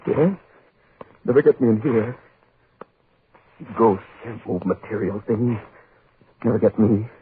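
An actor playing a cowardly, guilty newspaper publisher, blurting agitated broken phrases in 1940s radio horror style. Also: male voice, on the low side, slow pace. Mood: frightened muttering. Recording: noisy narrow 1940s radio recording.

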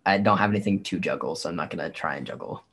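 A man's voice: deep voice, very fast, fairly bright tone, slight background noise. A man chatting casually as a livestream guest, informal and rambling in how he talks. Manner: informal, rambling, frequent 'like'. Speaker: livestream guest, performer and tarot reader. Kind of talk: casual chat.

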